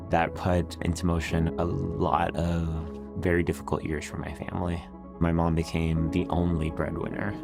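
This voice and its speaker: A man from El Salvador telling his personal story in a measured, reflective way. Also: rumbling low, creaky. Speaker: research interviewee. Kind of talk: personal testimony. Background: music.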